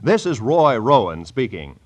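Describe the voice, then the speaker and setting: A man's voice: mid-range voice, very animated, unhurried, over loud music. A male announcer reading scripted credits in a polished, formal 1940s radio style. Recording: vintage 1940s radio broadcast.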